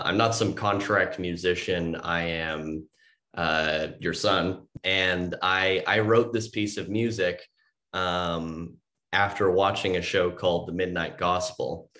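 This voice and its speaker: A man's voice: low-pitched, sharp tone. A young man talking casually as an interview guest, rambling with lots of fillers and restarts. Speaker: composer guest.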